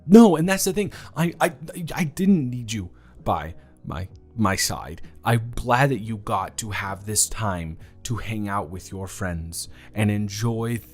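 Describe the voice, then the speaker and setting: Flustered young guy stumbling over his words, kinda overwhelmed, soft music under it. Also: lowish voice, lively intonation. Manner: halting, stammering, flustered. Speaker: voice actor in a romance audio drama. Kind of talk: one-sided audio roleplay to the listener.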